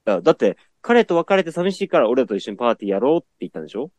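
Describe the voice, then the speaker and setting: A man's voice: medium pitch, big pitch swings, rapid-fire, a little mellow, no room sound. A man acting in a comedic radio drama, teasing and bantering casually.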